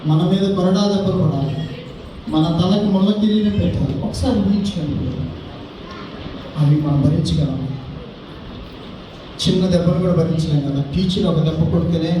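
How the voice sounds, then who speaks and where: A man preaching to children and leading prayer, exhorting them in short phrases and rhetorical questions. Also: on the high side. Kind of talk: children's sermon and led prayer. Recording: echoey hall, distant mic, noisy crowd.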